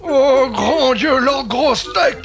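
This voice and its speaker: A man's voice: very high voice, very slow, creaky, fairly bright tone, soft background music, heavily acted. A man voicing a fussy, polite old professor in a cartoon, exclaiming as he talks. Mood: flustered.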